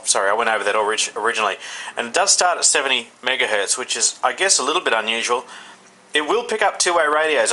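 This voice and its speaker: A man with an Australian accent giving a casual, unscripted hands-on product review, haltingly thinking aloud. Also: mid-range voice, sharp tone, poor audio. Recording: faint background noise.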